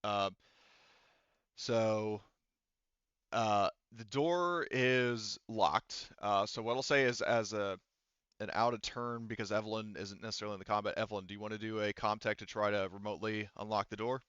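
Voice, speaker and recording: A man with a fairly low, clean voice runs a tabletop game. He talks casually and improvises as he goes, cursing freely. The sound is close and dry, a touch dull. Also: steady tone, normal speed, fairly bright tone, clean tone, dry recording. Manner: casual, swears. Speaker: game master. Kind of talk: improvised tabletop game narration over voice chat.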